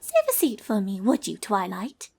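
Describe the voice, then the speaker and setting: A woman's voice: medium pitch, wildly swooping pitch, moderate pace, gravelly, darkish tone, breathy edge, exaggerated voice. A woman voicing a refined, theatrical young female pony with a polite, affected manner in a cartoon story reading. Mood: breezy, genteel.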